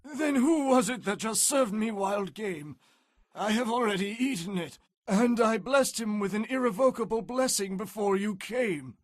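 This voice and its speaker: A man voicing an aged blind father, frail and solemn, in a slow, quavering old voice. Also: high voice, no room sound, studio quality, voice pushed up. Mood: shaken.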